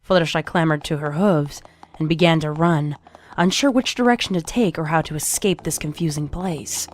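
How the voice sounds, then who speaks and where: A woman reading a scripted story as narrator, in a measured, suspenseful, dramatic storytelling manner. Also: deep voice. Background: music.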